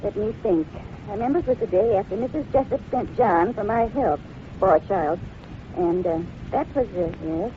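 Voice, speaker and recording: A woman's voice: medium pitch, lively intonation, normal speed, close to an everyday voice. A woman playing a nosy, self-righteous, suspicious small-town wife in an old-time radio drama. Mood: gossipy, emphatic. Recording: dull old radio recording, faint noise.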